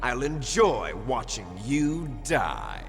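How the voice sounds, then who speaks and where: Casting: menacing male game villain, gloating, very slow delivery, big swoops of pitch. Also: huge pitch swings, vocal fry, heavily acted. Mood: gloating, taunting. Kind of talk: theatrical video game villain acting. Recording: long hall reverb, music behind.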